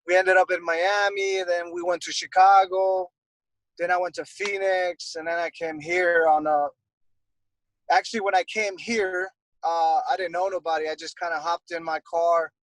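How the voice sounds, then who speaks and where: A young man from Costa Rica chats easily as an interview guest, rambling with many fillers. His voice sits a little high for a man. The sound is dry and close. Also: little pitch movement, normal speed, a bit bright, no room sound, rough recording. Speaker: guest, airbrush artist. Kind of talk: casual interview chat.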